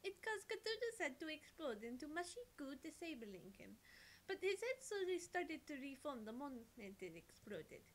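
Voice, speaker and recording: An earnest lab worker, voiced by a woman in a very high feminine register, telling strange lore from a visual novel game. A faint hiss sits behind the voice. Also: sing-song, normal speed. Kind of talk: visual novel read aloud as storytelling.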